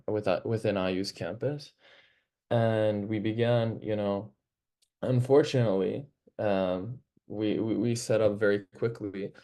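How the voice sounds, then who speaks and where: A young man from Palestine, a student organizer, speaking earnestly on an online panel, halting often with fillers and restarts. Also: lowish voice, dark tone. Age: young adult. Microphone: video call.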